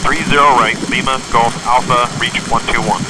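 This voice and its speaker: A man's voice: medium pitch, fairly even intonation, moderate pace. A man speaking as a military pilot, making terse procedural calls and readbacks over cockpit radio. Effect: cockpit radio transmission. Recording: very noisy aviation radio, rain and wind.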